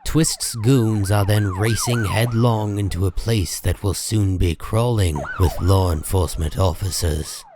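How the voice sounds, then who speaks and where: Deep, slow-speaking male narrator. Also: noisy background. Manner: dramatic, deliberate storytelling. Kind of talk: scripted comic book story narration.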